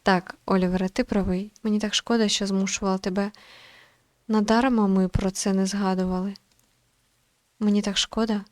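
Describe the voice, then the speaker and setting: A woman reading a tired, reserved young working woman in a visual novel, quiet, polite and hesitant. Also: medium pitch, flat delivery, moderate pace, no room sound, lightly acted. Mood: remorseful.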